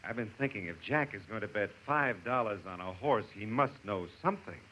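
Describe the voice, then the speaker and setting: Man playing calm businessman, understated, polite, vintage radio comedy, dull hissy recording. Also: on the low side, a little fast, natural voice. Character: calm, polite male network executive. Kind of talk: 1950s radio comedy acting.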